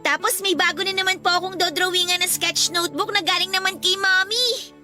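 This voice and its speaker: A woman voicing a sweet, excited, polite little daughter with a Filipino accent in a radio drama. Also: very high-pitched, fairly quick, music in the background. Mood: grateful, excited. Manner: childlike, polite, eager.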